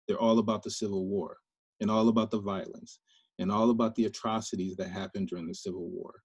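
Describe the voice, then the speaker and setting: Husky low-voiced man from Liberia. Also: fairly even intonation, fast, darkish tone, no room sound, lo-fi sound. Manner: thoughtful, many fillers and restarts. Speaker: filmmaker panelist. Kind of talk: panel discussion.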